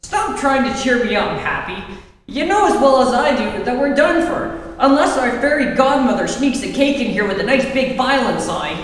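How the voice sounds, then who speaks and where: A woman's voice: a little high, animated, normal speed, lightly acted. A woman playing an anxious, wisecracking boy sidekick in an old-time radio adventure serial, performed on stage. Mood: gloomy, sardonic. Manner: slangy, wisecracking. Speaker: amateur theatre actor. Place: live theatre stage performance. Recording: echoey hall from far off, faint noise.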